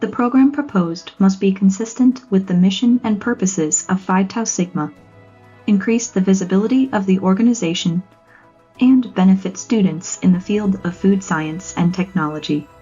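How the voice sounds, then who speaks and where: Female ceremony presenter, scripted narration, dark relaxed tone, music underneath. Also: medium pitch, moderate pace, husky. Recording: muffled lo-fi audio, music behind.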